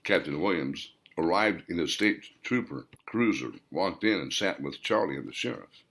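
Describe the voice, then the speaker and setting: A man's voice: normal speed, slightly rough. A man narrating his own novel as an audiobook, telling it expressively. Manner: expressive storytelling with wide pitch swings. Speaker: author and narrator.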